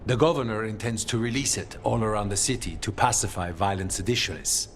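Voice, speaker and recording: An actor playing a grim, determined assassin commander in a video game, terse and serious in manner. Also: male voice, on the low side, a little fast, slight background noise, natural voice.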